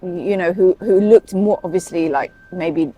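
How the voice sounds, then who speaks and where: A woman's voice: fairly low pitch, a little slow, mellow, highs cut off, slight background noise. A woman with a British accent, a paediatric doctor who grew up in Saudi Arabia, talking freely as an interview guest. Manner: chatty, talkative, many fillers and asides. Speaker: guest, paediatric emergency consultant. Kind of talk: casual interview chat.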